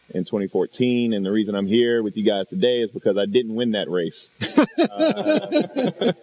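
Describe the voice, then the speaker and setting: A man from South Carolina speaks to a panel audience in a fairly low voice. He is relaxed and joking as he introduces himself. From Denmark, South Carolina. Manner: joking, easygoing. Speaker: panelist, former state legislator.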